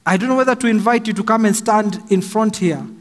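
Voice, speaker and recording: A middle-aged Kenyan man preaching a slow, emphatic sermon from a pulpit stand mic in a large church hall. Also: high-pitched. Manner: slow and emphatic, raising his voice for stress. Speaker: pastor. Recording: long hall reverb, faint music.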